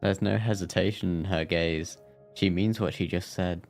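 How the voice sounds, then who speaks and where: Deep voiced streamer guy reacting to a game n reading lines out, music in background. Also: fairly even intonation, normal speed, vocal fry, darkish tone. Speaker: game livestreamer. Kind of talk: live game commentary and reading dialogue aloud.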